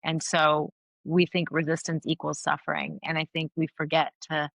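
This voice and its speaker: Woman, middle-aged, low voice, earnest, thoughtful, restarts often, first language Farsi, dry close sound. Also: completely flat delivery, normal speed, vocal fry, fairly bright tone, no echo. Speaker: guest, anthropologist and author. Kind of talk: reflective interview answers.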